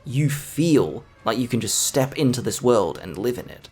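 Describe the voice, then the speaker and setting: A man's voice: medium pitch, very animated, normal speed, darkish tone, husky. A man giving scripted video essay narration as a film reviewer, with an enthusiastic, emphatic delivery. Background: background music.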